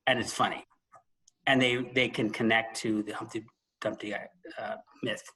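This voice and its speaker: A man hosting a conversational podcast about books, speaking emphatically and pausing for dramatic effect. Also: lowish voice, flat delivery, pushed and bright, rough recording. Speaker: podcast host. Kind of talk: conversational book discussion.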